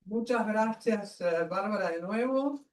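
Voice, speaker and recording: An echoey, distant recording of a woman with a Buenos Aires accent moderating a seminar. She speaks at a middle pitch and introduces her panel informally, halting and wandering into asides. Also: darkish tone. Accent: Argentine, Buenos Aires. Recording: echoey room, far from the mic.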